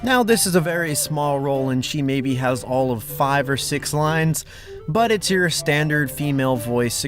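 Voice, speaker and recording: A man with an informal, chatty manner presenting a casting call announcement as the project's director, close on the microphone. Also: mid-range voice. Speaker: fan dub director, casting host. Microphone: close XLR microphone. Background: background music.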